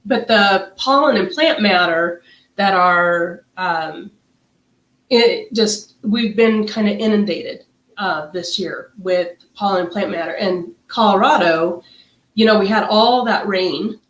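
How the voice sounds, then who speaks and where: A woman's voice: medium pitch, slow. A middle-aged woman who sounds nasal and stuffed up, co-hosting a casual wellness livestream and talking in a chatty, rambling way with many fillers. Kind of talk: casual chat. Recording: echoey room.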